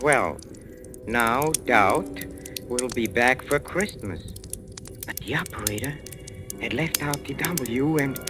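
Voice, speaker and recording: An actor playing a furtive professor with a guilty secret in 1940s radio suspense style, hesitant and stammering in his speech. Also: a little high. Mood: brooding, narrating. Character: furtive professor, nervously jolly, haunted wife-killer. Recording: vintage radio recording, music underneath.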